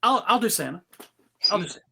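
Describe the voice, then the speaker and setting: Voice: medium pitch, lively intonation, fast talker, gravelly, bright, forceful tone, breathy edge. A performer reading out scene directions from a script, with casual asides at a table read. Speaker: reader of stage directions.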